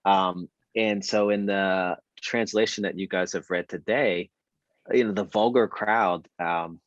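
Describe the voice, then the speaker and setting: Deep-voiced man, hesitant, lo-fi. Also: steady tone, unhurried, no echo. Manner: hesitant, many fillers, enthusiastic. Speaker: reading group participant. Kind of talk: casual group discussion. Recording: dull lo-fi dry sound.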